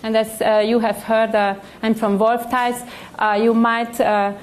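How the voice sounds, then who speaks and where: Woman, Hungarian accent, slightly high voice, halting with fillers, faint room hiss. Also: flat delivery. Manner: hesitant, many "uh" fillers. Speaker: panel moderator. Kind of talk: conference panel moderation.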